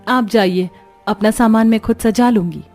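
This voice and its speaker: A woman's voice: a little high, normal speed, a little mellow, soft background music, natural voice. A woman voicing a sweet young bride from a poor family in a cartoon. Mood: gentle.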